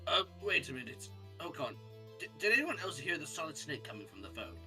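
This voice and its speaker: Animated, bright-voiced woman, teasing. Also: low voice, a little fast. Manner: excitable, teasing, exclamatory. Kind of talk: live fandub banter and acting. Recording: faint music behind the voice.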